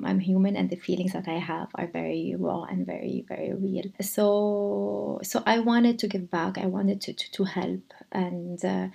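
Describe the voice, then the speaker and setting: A woman from Lebanon, a podcast guest, speaking softly and hesitantly, pausing and restarting as she reflects. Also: mid-range voice, creaky, slightly rough. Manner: soft-spoken, hesitant, reflective. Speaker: guest, charity founder. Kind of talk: podcast conversation.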